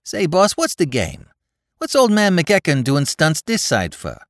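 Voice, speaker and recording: A man doing a squeaky New York crook. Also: dry recording, studio quality. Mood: excited. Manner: excited, slangy, fast. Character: cheeky young male Bowery crook, excitable.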